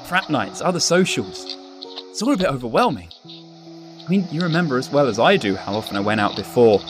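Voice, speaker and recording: Dry young British student, very expressive, played by a man. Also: mid-range voice, lightly acted. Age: young adult. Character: studious, reserved, wry young British exchange student. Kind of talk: radio drama acting.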